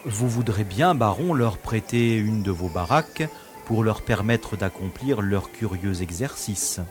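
An actor playing a courteous prison director in an audio drama, with florid, polite phrasing and a matter-of-fact manner. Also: male voice, lowish voice, animated, measured pace, darkish tone, soft background music. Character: courteous, genial prison director, proud of his inmates.

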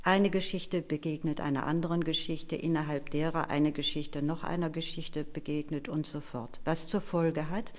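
A woman interpreting and presenting in a measured, deliberate way, phrase by phrase. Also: low-pitched, steady tone. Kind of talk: live consecutive interpreting and reading of a prepared text.

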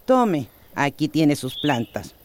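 An actress playing a cheeky, scolding older housekeeper with a Central American accent, in a radio soap opera. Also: female voice, deep voice, sing-song, takes it slowly, a little mellow, heavily acted. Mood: complaining. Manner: scolding, teasing. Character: sharp-tongued, cheeky older housekeeper of long service.

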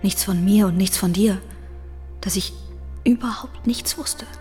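A woman's voice: mid-range voice, a little mellow, close to an everyday voice. Woman playing an anguished, whispering young woman, reverberant. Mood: anguished, wistful. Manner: breathy, halting, often dropping to a whisper. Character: troubled young Alsatian woman facing her former lover. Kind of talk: German radio play acting. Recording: long reverb, music behind.

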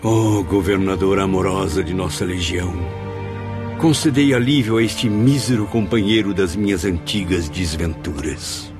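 A man's voice: deep voice, moderate pace, lightly acted. A Brazilian actor in a radio drama playing a compassionate, troubled spirit who learns and recounts what he witnesses, solemn and earnest. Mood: pleading reverence. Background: dramatic music.

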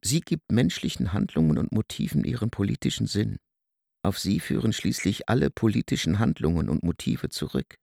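Declaiming in a husky, gravelly voice. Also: male voice, lowish voice, big pitch swings, moderate pace, a little mellow, dry recording, clean studio sound, full character voice. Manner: declamatory recitation. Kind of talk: radio play acting.

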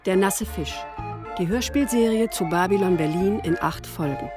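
A woman announces a radio drama in a scripted manner. Her voice sits at a medium pitch, with music clearly playing behind it. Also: moderate pace.

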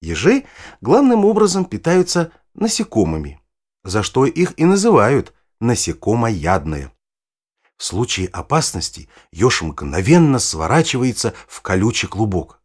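An older male actor playing a kindly, knowledgeable grandfather who explains nature to his granddaughter in a husky voice, in a children's audio play. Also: medium pitch, huge pitch swings, full character voice. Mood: instructive, engaged. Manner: unhurried, storytelling, instructive. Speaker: narrator-guide in an educational audio play.

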